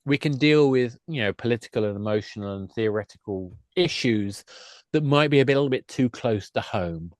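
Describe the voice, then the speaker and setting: Male guest, mid-pitched, enthusiastic and wandering explainer in relaxed conversation, recorded dry. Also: fairly quick, dry recording. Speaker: podcast guest, games expert.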